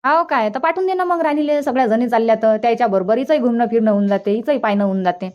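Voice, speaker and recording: A woman voicing a fond, gently nagging older village mother in a cartoon comedy, colloquial and insistent, with a rural Vidarbha Marathi accent. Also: fairly high pitch, fast, muffled recording, close to an everyday voice. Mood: fond, agreeable.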